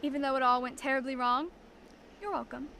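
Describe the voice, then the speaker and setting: A woman's voice: sits high, fairly even intonation, a little fast, creaky, sharp tone, slight background noise, exaggerated voice. A woman playing a bold, playful fairy princess in an audio drama, confident and teasing. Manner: playful, self-assured.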